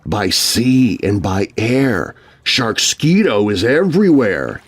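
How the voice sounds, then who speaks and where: A man's voice: on the low side, sing-song, slow pace, husky, exaggerated voice. A man voicing a stern, earnest eldest teenage brother who warns ominously in a children's spooky story. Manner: stern, hauntingly warning.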